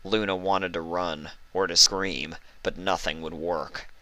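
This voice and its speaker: A man with a deep voice narrates a story dramatically. His tone is bright and crisp, and the sound is dry and close, with no room on it. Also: fairly even intonation, measured pace, no room sound. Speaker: fan fiction reader, narrator.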